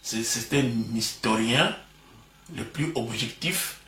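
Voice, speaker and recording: A man's voice: mid-range voice, takes it slowly, a bit bright. An older man with a Congolese French accent, talking proudly and expansively as a guest in an interview at home, laughing easily. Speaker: interview guest, retired professor. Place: living room at home. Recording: roomy home recording from a distance.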